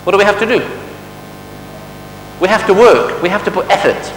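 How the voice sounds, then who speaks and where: A man's voice: high voice, expressive, moderate pace, fairly bright tone. A man from Manchester with a Mancunian accent, a sales trainer giving a motivational seminar talk, quizzing the audience with rhetorical questions and jokes. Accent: Mancunian English. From Manchester, England. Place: large hall with a live audience. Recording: echoey hall with long reverb and faint hum.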